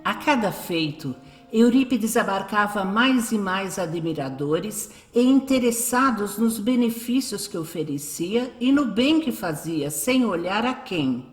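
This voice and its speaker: A woman's voice: mid-range voice, lively intonation, unhurried, hardly any pauses. A woman with a Brazilian accent reading scripted narration for a radio drama. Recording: roomy echo, music behind.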